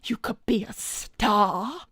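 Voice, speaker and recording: A woman's voice: mid-range voice, measured pace, a bit bright, no echo, studio quality. A woman voicing a seductive, manipulative talent-scout villainess, theatrical, coaxing and condescending, in an improvised cartoon roleplay. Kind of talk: improvised in-character livestream roleplay.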